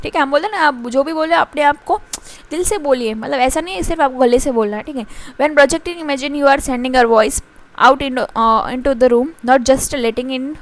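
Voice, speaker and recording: A woman teacher with an Indian accent giving a mixed-language lecture, pausing often with fillers and reading English passages slowly and carefully. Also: sits high. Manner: halting, frequent filler pauses and restarts.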